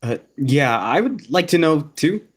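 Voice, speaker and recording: A man's voice: mid-range voice, big pitch swings, normal speed. A man voicing a disdainful, suspicious young tomcat from a wild clan in a roleplay game. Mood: grudging. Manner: scornful, protesting.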